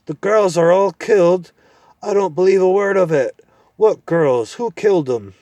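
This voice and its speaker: A man voicing a deaf, irritable old male dwarf who grumbles loudly and impatiently, in a storybook reading. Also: high voice, measured pace, no room sound, pitched above the performer's own voice. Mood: cranky.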